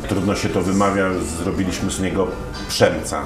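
A man's voice: low-pitched, steady tone, moderate pace. A man in his fifties, a sports commentator and interview guest, telling stories at an easy pace with frequent fillers and restarts, on a lapel mic. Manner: storytelling, many fillers and restarts. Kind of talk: relaxed conversational interview. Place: dim room lined with wine shelves, indoors. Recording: roomy sound with music behind.

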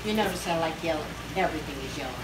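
A woman's voice: low voice, animated, rapid-fire, very noisy. An older woman cooking in her home kitchen, chatting in a bubbly, theatrical way and breaking into song, filmed on a phone. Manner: bubbly, theatrical, sings and chats while cooking. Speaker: home cook showing a recipe. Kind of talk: casual cooking vlog. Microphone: handheld phone camera nearby. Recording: roomy kitchen with loud music.

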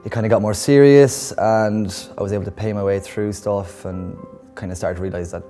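A man's voice: on the low side, steady tone, normal speed, warm tone, faint music behind. A man, musician and educator, talking casually in an interview.

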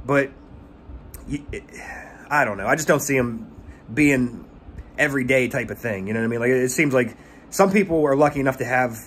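A man's voice: medium pitch, brisk, pauses often, slight background noise. A man in his thirties giving rambling tips in a casual vlog, stumbling and repeating words, recorded on a phone held at arm's length in a small room. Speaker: pressure washing business owner. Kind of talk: casual talking-head vlog.

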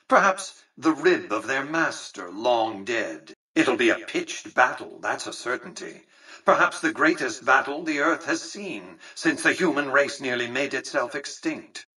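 Aging American magnate, game villain-mastermind type: condescending, calculating, precise, mid-pitched with a sharp, forceful tone. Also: male voice, slightly rough, distant mic, poor audio. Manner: measured, pompous, condescending, precise diction. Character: cold, imperious, calculating old male tycoon and casino magnate. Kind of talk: scripted video game dialogue.

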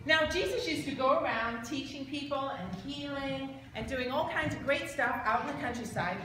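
A middle-aged woman with a high voice tells a story to children in an animated, chatty way. She is on a handheld mic in a noisy, echoey church. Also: normal speed. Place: large church sanctuary. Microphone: handheld microphone.

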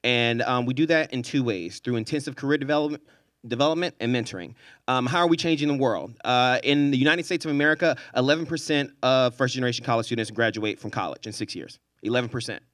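A man in his thirties from Houston speaking on a panel into a handheld mic, energetic and rallying the student audience. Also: mid-range voice, fast, dry recording, clean studio sound. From Houston, Texas. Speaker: panelist, nonprofit director. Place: stage at a large event space. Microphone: handheld microphone.

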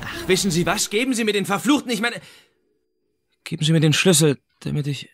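A man's voice: sits high, expressive, fairly bright tone, slight background noise. Flustered, stammering male passenger. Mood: exasperated. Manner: stammering, halting. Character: flustered, sweaty male cruise passenger, easily exasperated. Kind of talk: naturalistic German radio drama acting. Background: music.

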